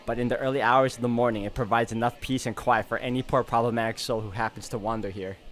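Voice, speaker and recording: Bright-voiced man hosting a gaming let's play. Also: mid-range voice, steady tone, fast. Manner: reads narration dramatically, reacts openly. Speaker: let's play video host. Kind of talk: gaming commentary, reading visual novel text aloud.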